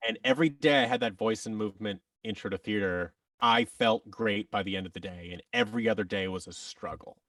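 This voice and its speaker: A man's voice: fairly low pitch, fairly quick, few pauses, a bit bright, no echo, recorded from a distance. A man telling personal stories as a podcast guest, candid and reflective, often interrupting himself.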